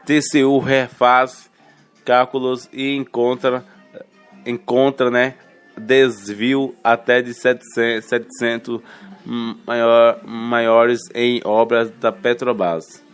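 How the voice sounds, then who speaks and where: A man from Bahia, reading radio news headlines informally and haltingly, with self-corrections, colloquial asides and a slight cold. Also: medium pitch, little pitch movement, clean tone. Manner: colloquial, halting, stumbles over words and self-corrects, tags remarks with questions. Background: music.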